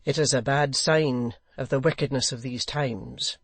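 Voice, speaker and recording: Reader doing a pompous zealot lord in an old novel, formal, slow, very earnest n righteous. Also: medium pitch, fairly even intonation, no room sound, lightly acted. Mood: righteous reproach. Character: zealous, self-righteous English lord, solemnly easily offended.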